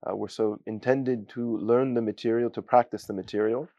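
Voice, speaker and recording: A young man from giving a student testimonial, talking haltingly with many fillers and Arabic phrases. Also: mid-range voice, fairly even intonation, warm tone, dull audio, a little hiss or hum. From United States. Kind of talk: casual spoken testimonial.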